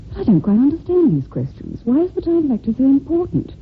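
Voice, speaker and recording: An actress playing a prim, defensive, devoted secretary who speaks formally and emphatically in an old-time radio drama. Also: female voice, high voice, wildly swooping pitch, fast talker, a little hiss or hum, full character voice. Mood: guarded. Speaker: actor in a radio mystery. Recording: thin, phone-like old radio recording.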